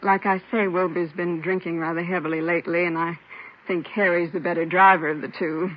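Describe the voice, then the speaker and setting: A polite American businesswoman as an actor plays her, with a high-pitched voice and a chatty, concerned manner. It is radio-drama acting on a muffled vintage recording. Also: level tone, a little fast, close to an everyday voice. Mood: chatty, defensive. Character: polite, talkative woman contractor and gem dealer, concerned.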